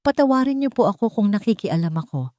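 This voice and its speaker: Housemaid in an audio drama played by a female narrator: timid, apologetic, trembling, mellow and dry-recorded. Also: mid-range voice, animated, fast, no room sound, voice pushed up, natural voice. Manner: trembling, deferential, hesitant.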